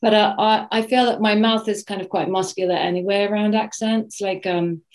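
A woman's voice: medium pitch, fairly even intonation, normal speed, darkish tone. A woman from New Zealand with a British RP accent, an actress thoughtfully answering interview questions and searching for her words. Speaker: actress guest.

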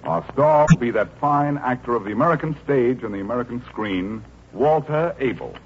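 A man's voice: mid-range voice, animated, moderate pace. A man announcing for a sponsor on 1940s radio, with a polished, courteous delivery. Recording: noisy, muffled 1940s radio recording.

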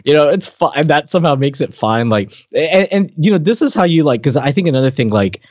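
A man's voice: on the high side, sing-song, brisk, darkish tone, no room sound. A man co-hosting a podcast in casual chat, eagerly jumping in and restarting his sentences. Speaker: podcast co-host. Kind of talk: casual chat recapping a comedy show. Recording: dry, slightly dull podcast audio.

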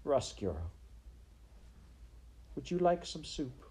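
A man voicing a gentle but resolute princess in a storybook, speaking earnestly. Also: on the low side, expressive, a little hiss or hum, close to an everyday voice. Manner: earnest, measured pleading.

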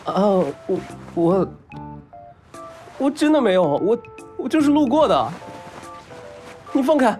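A man's voice: very high voice, very animated, unhurried, long pauses, darkish tone, heavily acted. A man acting in an audio drama as a flustered, smitten young patient, stammering and whining his way through his lines. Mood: panicked. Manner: stammering, whiny, exclamatory. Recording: music behind.

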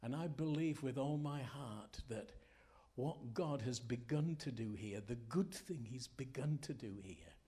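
A man delivers a church sermon at a slow, measured pace. He speaks earnestly as a preacher, telling stories in short phrases, with firm emphasis and dramatic pauses. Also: medium pitch, warm tone. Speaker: guest preacher, former pastor. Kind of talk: Sunday morning sermon, storytelling.

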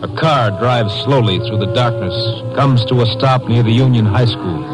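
A man's voice: medium pitch, normal speed. A man with an American accent narrating a scene for a 1950s radio crime drama. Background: music.

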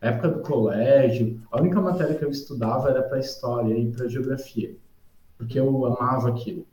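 A man, a podcast guest telling stories in a relaxed, rambling way, often tagging sentences with "tá?" and "sabe?". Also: medium pitch, brisk. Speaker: podcast guest, civil engineer. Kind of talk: casual storytelling. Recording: roomy echo.

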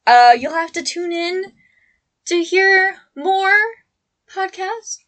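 A woman's voice: very high-pitched, slow pace, a bit bright. A young adult woman co-hosting a podcast, chatting animatedly and laughing often. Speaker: podcast co-host. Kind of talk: casual podcast chat.

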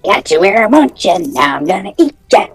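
A podcast host voicing a gross monkey that taunts from a closet in a quick sing-song chant, reading a campfire story. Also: medium pitch, very animated, husky, dry recording, soft background music. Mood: taunting.

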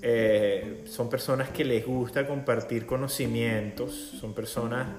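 A man's voice: mid-range voice, fairly even intonation, measured pace. A man hosting a live casual interview, chatty, effusive and joking with his guest. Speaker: host. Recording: roomy sound with guitar music behind. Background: guitar music.

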